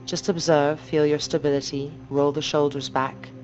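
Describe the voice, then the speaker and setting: A woman yoga teacher guiding a meditation in a slow, soft, calming and measured way. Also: deep voice, faint music behind. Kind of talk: guided meditation instruction. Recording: dull muffled recording with noise and hum.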